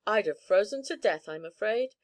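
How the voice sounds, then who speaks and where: A woman's voice: mid-range voice, animated, normal speed, natural voice. Storybook hero: a hearty, plain-spoken young man home from the north, read by a woman. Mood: sober. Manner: sober, cheerful storytelling.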